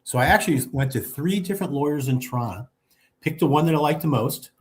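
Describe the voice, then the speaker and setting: A man in his seventies from Texas, a guest on a casual video chat, talking in a relaxed way and repeating himself for emphasis. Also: fairly high pitch, fast talker. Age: 70s.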